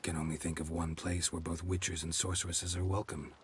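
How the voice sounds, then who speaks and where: An actor playing a stoic, world-weary monster hunter in a video game, speaking tersely in a gruff, deadpan voice. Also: male voice, deep voice, a little fast, a little hiss or hum, close to an everyday voice. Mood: resolute. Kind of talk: video game cutscene dialogue.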